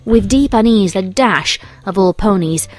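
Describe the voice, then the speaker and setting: A woman's voice: medium pitch, lively intonation, a little slow. A woman narrator reading a scripted story in storybook narration style. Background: soft music.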